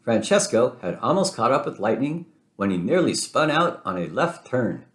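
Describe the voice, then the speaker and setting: A man narrating a children's storybook aloud in an expressive read-aloud style. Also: medium pitch, normal speed. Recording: roomy, echoing sound.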